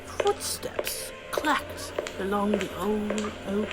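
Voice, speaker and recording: A woman's voice: medium pitch, drawn-out words, loud music behind the voice. A woman narrating a radio play in a storybook style.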